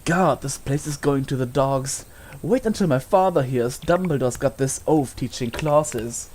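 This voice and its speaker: A woman's voice: a bass voice, unhurried, a little mellow, a little hiss or hum. A woman playing an arrogant, spoiled young schoolboy bully in an audio drama, speaking in a haughty, mocking manner. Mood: contemptuous.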